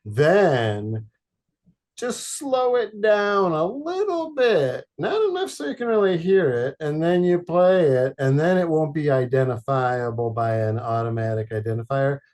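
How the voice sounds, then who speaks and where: A man from Detroit, a podcast guest, chatting casually in a halting, rambling way with many fillers and deadpan jokes. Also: a little high. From Detroit, Michigan. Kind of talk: casual chat.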